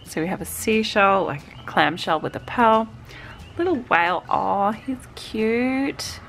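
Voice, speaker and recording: A woman's voice: medium pitch, lively intonation, unhurried, a bit bright, poor audio. A woman, chatting through a stationery unboxing, slightly nasal from a cold and cooing over small items. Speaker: vlogger unboxing a stationery subscription box. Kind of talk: casual unboxing chat.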